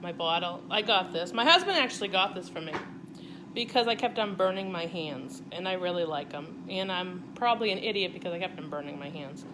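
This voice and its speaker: A woman's voice: lowish voice, lively intonation, fairly quick, noisy background. A middle-aged woman with a Boston accent, chatting casually on a home cooking live stream and talking viewers through a recipe. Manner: chatty and rambling, talks to viewers directly. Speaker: cooking channel host. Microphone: live-stream device mic.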